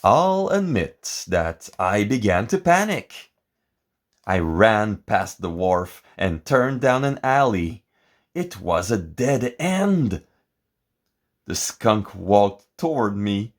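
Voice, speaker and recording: A man reading a picture book aloud in a theatrical, expressive and deliberate way, as scripted narration. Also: on the low side. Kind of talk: dramatic scripted storybook narration.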